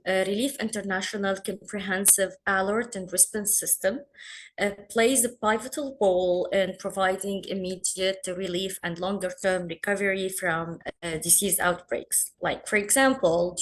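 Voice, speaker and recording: A woman speaks on a webinar panel over a video call. Her voice is mid-pitched, and she talks formally, pausing now and then to find her words. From Lebanon. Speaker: panelist, program monitoring manager.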